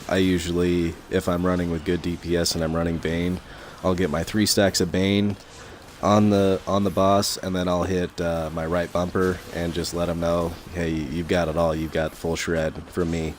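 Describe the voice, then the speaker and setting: A young man commentating his gameplay in a casual, rambling way full of fillers, his voice a little raspy from talking so long. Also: low voice, little pitch movement, normal speed, a little hiss or hum. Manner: rambling, many fillers and restarts.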